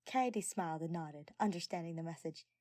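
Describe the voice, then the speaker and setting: A woman's voice: on the low side, normal speed, dark tone, no echo. A woman reading scripted story narration as the narrator of a fan fiction audio recording. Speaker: podfic narrator.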